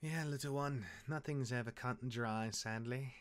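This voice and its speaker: An actor playing a cocky, jaded demigod of misfortune mentoring his younger brother in a fantasy drama, smooth-talking and wry, with dramatic turns. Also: mid-range voice, normal speed, close to an everyday voice. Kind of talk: character acting in fantasy audio drama.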